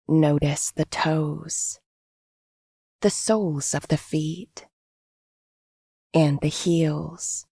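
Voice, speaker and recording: A woman gently guiding a body scan meditation in an unhurried, scripted, instructional way. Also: deep voice, slightly rough, no room sound, studio quality. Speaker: meditation guide.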